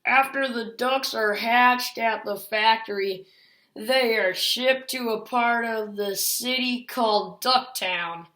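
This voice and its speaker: A woman voicing a gentle male alligator in a storybook, apologetic and halting as he explains himself. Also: a little high, echoey room, low-quality recording. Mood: apologetic, grave.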